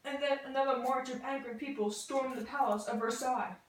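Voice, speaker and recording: High-voiced young woman, slightly distant and echoey. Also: steady tone, fairly quick. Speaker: student presenter. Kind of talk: scripted educational puppet show narration.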